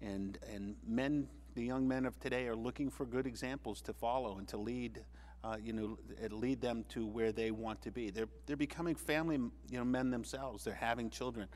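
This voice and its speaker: A man's voice: mid-range voice, darkish tone. Earnest man on a studio panel. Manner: earnest, measured, many restarts. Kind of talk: panel discussion.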